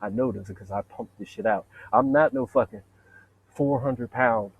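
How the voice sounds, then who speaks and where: A man with a deep voice in a rambling, profane, boastful solo monologue, wandering from point to point. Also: moderate pace, slightly rough, highs cut off. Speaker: vlogger.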